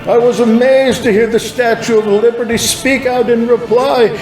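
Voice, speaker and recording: A man's voice: very high voice, steady tone, normal speed. A man giving a solemn, dramatic first-person scripted narration of a religious vision. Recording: echoing, clear music behind. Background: music.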